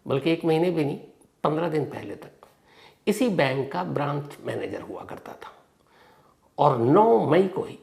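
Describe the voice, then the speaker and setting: Gravelly man telling a crime story. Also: darkish tone. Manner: dramatic pauses and emphatic stresses, sentences trailing off low. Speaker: host of a true-crime storytelling show. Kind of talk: narrative crime storytelling, conversational.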